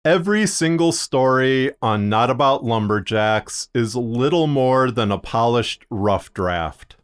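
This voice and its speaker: A man narrating a scripted video as a podcaster, speaking in measured, deliberate phrases with short pauses. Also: medium pitch, expressive, clean tone. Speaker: podcaster and fiction narrator presenting a video series.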